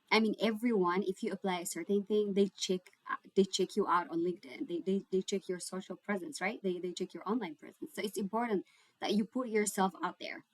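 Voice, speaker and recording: A woman's voice: medium pitch, dry recording, rough recording. Ethiopian-accented woman chatting casually, dry sound. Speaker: YouTube host giving career and scholarship guidance. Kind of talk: casual chat to camera. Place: indoors, homemade home recording setup. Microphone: separate microphone.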